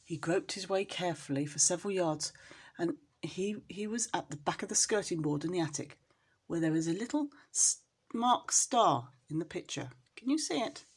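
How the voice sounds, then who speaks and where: A woman storyteller reading expressively to young children, in an engaging, animated manner. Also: on the low side, moderate pace, husky. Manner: animated, addresses young listeners with questions.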